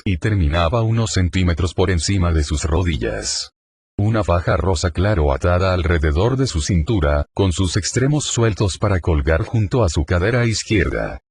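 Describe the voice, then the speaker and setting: A man narrating a fan fiction audiobook in a steady, plain storytelling manner. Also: sits low, slight background noise.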